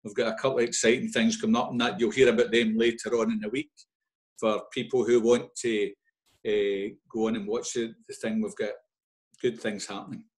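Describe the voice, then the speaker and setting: A man's voice: lowish voice, level tone, brisk. A Scottish man, a club official, giving fans an informal and enthusiastic update over a video call, often repeating himself.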